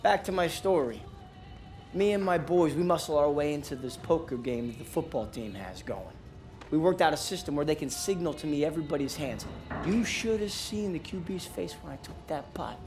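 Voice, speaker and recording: A man's voice: fairly high pitch, animated, normal speed, music in the background. A man playing a cocky young American soldier, a brash, wisecracking hustler in a war game. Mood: boastful. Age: young adult.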